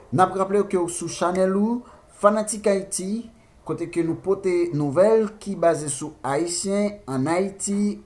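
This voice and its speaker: Male channel host, Haitian accent, voice a bit high, informal news commentary, halting delivery. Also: darkish tone.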